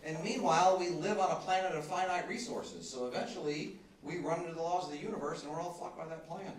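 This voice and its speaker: A man's voice: fairly high pitch, fast, slight background noise. A man in his forties with a Southern American accent, doing a loose, conversational stand-up set and chuckling through his punchlines. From Southern United States. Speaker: stand-up comedian. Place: comedy club stage. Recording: echoey club, far from mic, loud crowd. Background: loud audience laughter.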